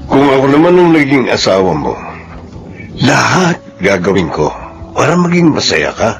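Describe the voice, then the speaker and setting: An actor playing a flattering, smooth-talking seducer in a radio drama. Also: male voice, mid-range voice, normal speed. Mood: seductive. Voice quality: coaxing and flattering. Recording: radio drama with music bed.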